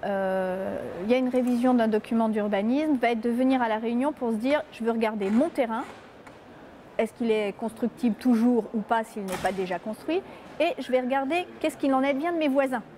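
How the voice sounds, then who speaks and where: A woman's voice: fairly high pitch, a little fast, warm tone, slight background noise. A woman, a local elected official, explaining things spontaneously in an interview.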